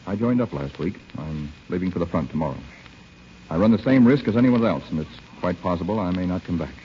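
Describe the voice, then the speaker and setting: Defiant young soldier, urgent clipped delivery, vintage radio drama, muffled with faint noise. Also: male voice, sits low, lively intonation, brisk. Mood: urgent, restrained. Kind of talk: 1930s-40s radio drama acting. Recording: muffled, faintly noisy old radio broadcast.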